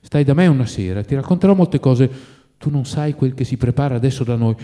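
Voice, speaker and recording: A man's voice: medium pitch, expressive, a little fast, dark tone, close to an everyday voice. A man voicing an agitated, insistent man who urgently pleads with his fallen friend in a literary story.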